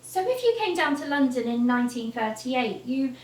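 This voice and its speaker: A woman's voice: sits high, big pitch swings, normal speed, darkish tone. An actress playing a polite, curious young woman who asks tentative questions in a stage play. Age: young adult. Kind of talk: theatrical stage dialogue. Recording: echoey, noisy theatre stage from far off.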